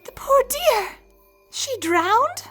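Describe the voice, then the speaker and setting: A woman's voice: extremely high pitch, very animated, very slow, a bit bright, faint music behind, exaggerated voice. A woman voicing a refined young female unicorn, genteel, soothing and skeptical, in a dramatized horror story. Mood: shocked concern. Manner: genteel, coaxing.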